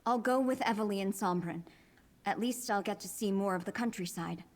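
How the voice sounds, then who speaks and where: A woman voicing a wistful, sheltered young woman in a fantasy video game, plaintive and gentle. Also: mid-range voice, fairly quick, lightly acted. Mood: wistful, resigned. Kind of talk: video game character acting.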